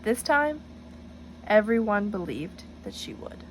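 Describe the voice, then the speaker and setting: A woman reading a picture book aloud to children in an encouraging, teacherly way, pausing to address them directly with questions. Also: mid-range voice, very animated, background noise. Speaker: storybook reader for children, student teacher.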